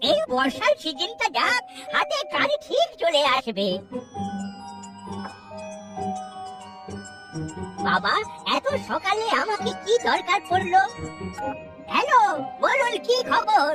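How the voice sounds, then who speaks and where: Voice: very high voice, very animated, unhurried, recorded from a distance, loud music behind the voice, full character voice. A performer voicing a genial, crafty old grandfather in an exaggerated, wheedling Bengali cartoon style. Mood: reassuring, fussy. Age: older.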